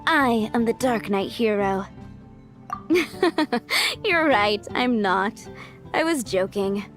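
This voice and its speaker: A playful young tavern boss speaks in a fairly high voice with music behind her. She is unhurried, teasing and worldly, like a game character sharing gossip. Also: animated, fairly bright tone, heavily acted. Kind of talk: video game voice acting.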